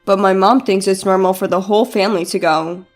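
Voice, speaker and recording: A woman's voice: mid-range voice, fairly even intonation, fairly quick, soft background music, pitched below the performer's own voice, close to an everyday voice. A woman voicing an entitled, oblivious young husband with a nonchalant, matter-of-fact manner in a dramatized story.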